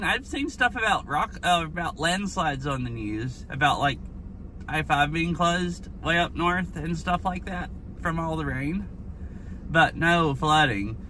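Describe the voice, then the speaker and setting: Hoarse young dude rambling on a phone stream outside, lots of "like", car noise around. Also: male voice, on the high side, bright, forceful tone. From Washington state. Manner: rambling, filler-heavy, with frequent "like". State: hoarse from lost voice. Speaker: IRL streamer. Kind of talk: casual live-stream chat. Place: outdoors by a flooded river.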